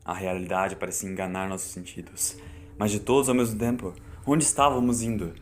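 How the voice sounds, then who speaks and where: A man's voice: lowish voice, fairly even intonation, brisk, a little hiss or hum. A man with a Brazilian accent narrating a horror audiobook in a solemn, theatrical way. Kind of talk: dramatic scripted horror narration. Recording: loud music under the voice. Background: loud music.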